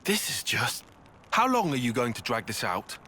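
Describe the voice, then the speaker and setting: A man with a British accent voicing a dry, sceptical, bookish young soldier in a video game, measured and wry. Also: mid-range voice, huge pitch swings, bright, forceful tone, full character voice. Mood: exasperated. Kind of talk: scripted video game voice acting.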